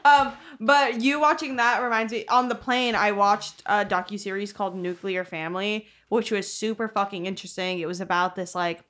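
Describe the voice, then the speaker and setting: A woman's voice: mid-range voice, fairly even intonation, moderate pace, hardly any pauses, a bit bright. A young woman co-hosting a podcast in a small room, chatting casually with lots of fillers and swearing, into a desk microphone. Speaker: podcast co-host. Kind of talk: casual chat. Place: small room, seated on chairs. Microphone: studio mic on desk stand.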